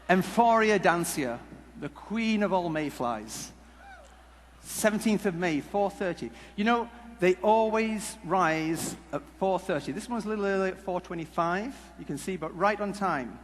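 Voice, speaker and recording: A man giving a humorous conference talk, telling stories in a jokey, halting, rambling way. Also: sits high, fairly bright tone. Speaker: conference speaker. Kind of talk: humorous stage presentation with storytelling. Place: large conference hall before an audience. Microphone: headset mic. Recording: echoey hall PA with background noise.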